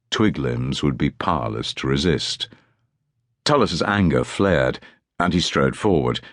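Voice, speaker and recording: A man's voice: a bass voice, dry recording, studio quality. A man reading historical fiction as a scripted audiobook narration.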